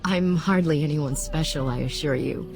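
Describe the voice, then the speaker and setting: A composed elf wise woman from a fantasy game. Her voice is low, dark and creaky, and she speaks with calm, reflective formality. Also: normal speed, soft background music. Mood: sombre, reflective. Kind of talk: fantasy video game acting, measured storytelling.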